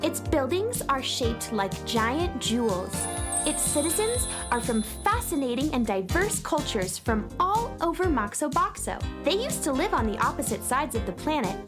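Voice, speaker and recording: A woman playing an upbeat, adventurous space traveler who narrates a children's radio story as an expressive storyteller. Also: high voice.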